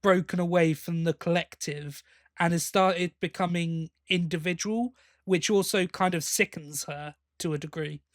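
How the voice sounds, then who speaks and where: A high-voiced man talks casually as a podcast co-host. He sounds enthusiastic and appreciative, and the recording is close and dry. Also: fairly even intonation, normal speed, dry recording. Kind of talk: casual fan discussion.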